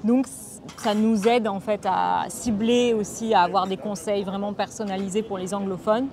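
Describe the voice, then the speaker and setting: A woman's voice: mid-range voice, steady tone, normal speed, a crowd in the background. A woman of thirty from Bordeaux who hosts and interviews a guest in a friendly, chatty way, with plenty of fillers. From Bordeaux, France. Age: 30s. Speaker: host. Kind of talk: casual conversational interview.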